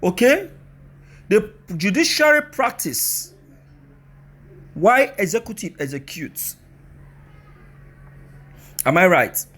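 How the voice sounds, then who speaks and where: A man with a Nigerian accent and a fairly high voice delivers opinionated news commentary. He speaks straight to his listeners in an emphatic, rhetorical way. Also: sing-song, slow pace, pauses often, a bit bright.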